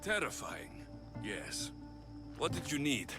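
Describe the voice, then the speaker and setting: An actor playing a sceptical mercenary hero of ancient Greece in a video game, talking in a dry, sardonic manner. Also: male voice, on the high side, big pitch swings, slow. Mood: wryly curious. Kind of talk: video game cutscene acting. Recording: music playing behind the voice.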